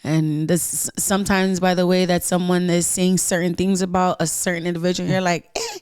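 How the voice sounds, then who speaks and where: Woman, musician guest, low-set voice, dry and close, earnest and rambling with animated lifts. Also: normal speed, clear, resonant tone, no room sound. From Southern California. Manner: earnest, rambling with many ums, bursts of animated emphasis. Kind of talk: casual podcast interview.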